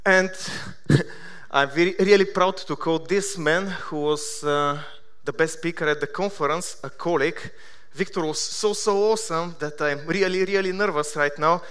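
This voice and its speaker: A man in his thirties from Bulgaria with a Bulgarian accent, giving a conference keynote with self-deprecating deadpan humor. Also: fairly high pitch, moderate pace, smooth. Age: 30s. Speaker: conference speaker. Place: large conference hall.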